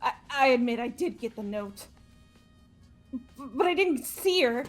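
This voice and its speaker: A woman's voice: fairly high pitch, animated, a little slow, soft background music. A woman voicing a nervous, defensive teenage girl who stammers, in a video game read-through. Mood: anxious, defensive. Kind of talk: hobby video game voice acting.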